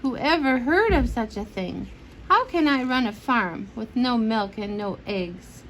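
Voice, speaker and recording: An exasperated farmer fumes over his stubborn animals, as a woman puts him on while reading aloud, in a fairly high voice. He talks slowly, with indignant questions, in the unhurried rhythm of a bedtime storybook. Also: lively intonation, a bit bright, slight background noise, rough recording. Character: exasperated grown man farmer.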